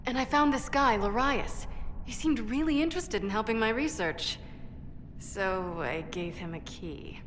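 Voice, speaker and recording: A woman's voice: on the high side, unhurried, fairly bright tone. A woman playing a brash, defensive female dwarf inventor in a video game, blunt and wry. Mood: defensive, earnest. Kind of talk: video game cutscene acting. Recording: echoey cavern reverb, background noise.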